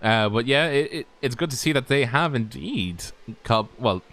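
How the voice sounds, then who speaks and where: A man co-commentating a live online race, explaining at length in a relaxed, agreeable way. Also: medium pitch, normal speed.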